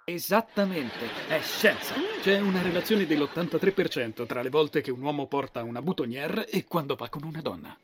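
A man's voice: a little high, a little fast, hardly any pauses, noisy background, lightly acted. A man playing a smug, pompous womanizer in a sitcom dub, with mock-solemn theatrical delivery. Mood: smug lecturing.

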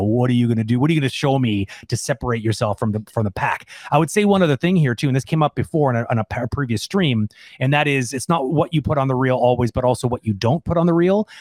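A man's voice: medium pitch, quick pace, a little mellow, no echo. Casual, emphatic man in a dry room. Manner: rambling, emphatic, informal phrasing. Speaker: animator and Q&A co-host. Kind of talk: casual unscripted Q&A discussion.

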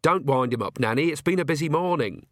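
Man voicing a higher-pitched, sensible young witch. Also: lively intonation, brisk, no echo, studio quality. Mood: sharp, exasperated. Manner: sharp, matter-of-fact, protesting.

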